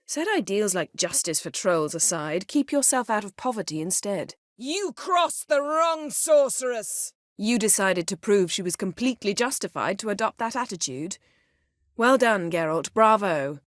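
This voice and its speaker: A woman voicing a proud, sharp-tongued sorceress in a video game, speaking crisp, formal with an imperious, sardonic manner. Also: medium pitch, animated, normal speed, dry recording, studio quality, natural voice. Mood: forceful, urgent, then biting, sardonic. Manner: crisp, formal, haughty and biting. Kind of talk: video game character dialogue.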